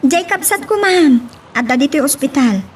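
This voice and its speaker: A woman's voice: sits high, animated, moderate pace. A woman with an Ilocano accent playing a polite, friendly young woman in a love-story audio drama. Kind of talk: Ilocano radio-style drama acting. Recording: distant mic, faint ambient noise.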